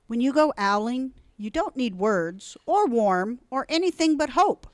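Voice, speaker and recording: A woman reading a children's storybook aloud in an expressive, deliberate, dramatic way. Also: fairly high pitch, no echo. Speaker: storybook reader.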